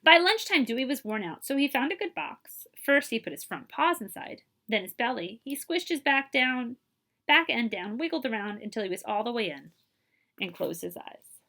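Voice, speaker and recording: A woman reading a children's picture book aloud as a librarian, with expressive, emphatic delivery and dramatic pauses. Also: fairly high pitch.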